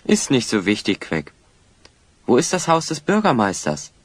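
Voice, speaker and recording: A man's voice: medium pitch, huge pitch swings, moderate pace, no room sound. A man playing a shrewd, confident hero sleuth in an audio drama, speaking in an assured, probing way. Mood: shrewd, thoughtful. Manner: assured, probing, clearly enunciated. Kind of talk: German children's audio drama acting.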